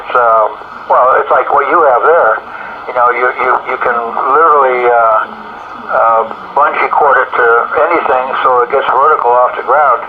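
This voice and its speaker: An older man chatting casually and haltingly over a two-way radio, rambling as an amateur operator does. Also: mid-range voice. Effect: heard over two-way FM radio. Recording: dull noisy two-meter ham radio signal.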